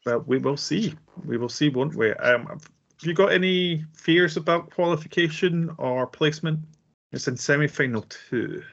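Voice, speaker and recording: A man co-hosting a casual music review chat, speaking in a measured way with frequent hedging. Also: fairly high pitch, creaky, a bit bright, lo-fi sound. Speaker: co-host reviewing songs.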